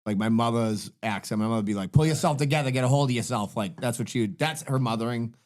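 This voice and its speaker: A man's voice: mid-range voice, quick pace, darkish tone. A man with a Boston accent co-hosting a podcast, agreeing and chiming in during casual chat. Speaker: podcast co-host.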